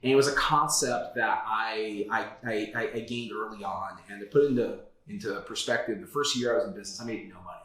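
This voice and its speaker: Low-voiced man telling his story. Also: brisk, hardly any pauses, fairly bright tone. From South Florida. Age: 30s. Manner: candid, storytelling, frequent "like" and "uh". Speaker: guest. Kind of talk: casual interview. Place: office. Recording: echoey room.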